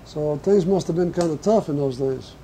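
An older man from Portugal, reminiscing in an oral history interview, who talks in a halting, reflective way as he searches his memory. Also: fairly high pitch, dark tone, a little hiss or hum, rough recording. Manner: halting, reflective, slow recall.

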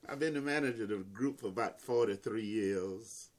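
A man's voice: fairly low pitch, moderate pace. A man in his seventies, the manager of a gospel group, talking plainly and casually. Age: 70s. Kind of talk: casual chat.

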